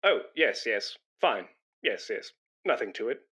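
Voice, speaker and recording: A man's voice: mid-range voice, big pitch swings, a little slow, sharp tone, husky, heavily acted. An actor playing a pompous, self-important man in a British radio comedy, blustering and indignant, with a matey know-all manner. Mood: chatty, know-all.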